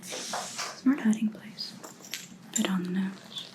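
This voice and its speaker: A woman's voice: mid-range voice, fairly even intonation, natural voice. A woman playing a grim, vengeful vampire hunter in an ASMR roleplay, whispering breathily and menacingly close to the microphone. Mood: cold, knowing. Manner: slow, deliberate, menacing.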